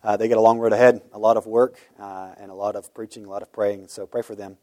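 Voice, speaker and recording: Pastor giving dry, close church announcements. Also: male voice, lowish voice, flat delivery, quick pace, warm tone, no room sound. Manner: informal, many "uh" fillers, earnest. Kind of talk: church announcements before sermon. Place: church sanctuary.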